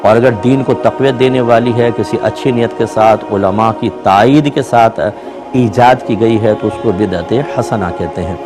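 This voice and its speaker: A man speaking as an Islamic scholar, answering a religious question in a measured, explanatory way, point by point. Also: on the low side, over music.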